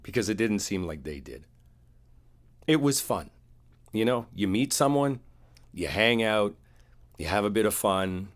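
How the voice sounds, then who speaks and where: A man playing an earnest, wounded boyfriend in an intimate roleplay, speaking in a halting, confiding way. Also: lowish voice, lively intonation. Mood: wistful.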